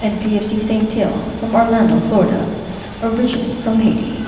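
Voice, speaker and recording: A woman announcing at a formal ceremony, reading names and hometowns slowly and deliberately over a public address system. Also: on the high side, steady tone, noisy background. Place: large hall at a ceremony. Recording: distant PA voice in an echoey hall with a loud crowd.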